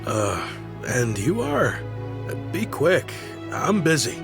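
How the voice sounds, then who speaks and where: A busy townsman who cuts people off short and wants them gone. His mid-pitched voice swings lively up and down. Game music plays clearly underneath. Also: slow, heavily acted. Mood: impatient. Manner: curt, brusque. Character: busy, impatient adult male townsman. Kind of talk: video game cutscene dialogue.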